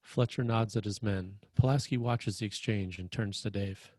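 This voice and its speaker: A man's voice: fairly low pitch, fairly even intonation, normal speed, mellow, no echo. A man reading scripted stage directions as the narrator of a screenplay table read.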